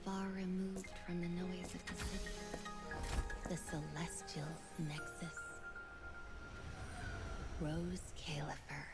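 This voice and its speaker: A woman voicing a mysterious, solemn storyteller in a game, speaking in a hushed, breathy near-whisper. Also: on the low side, level tone, slow, pauses often, loud music behind the voice. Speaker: game narrator. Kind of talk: solemn scripted narration.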